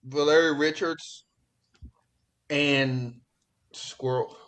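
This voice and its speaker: A man hosting a podcast in casual pop culture chat, talking in an excitable, slangy, profane way. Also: fairly high pitch, very slow, low-quality recording. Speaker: podcast host.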